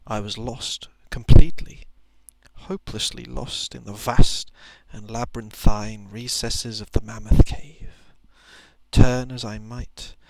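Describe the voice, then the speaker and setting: Male ASMR narrator: very gravelly, slow and deliberate, soft-spoken with whispered dips, literary reading on a close mic. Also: medium pitch, no echo, rough recording. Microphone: close microphone.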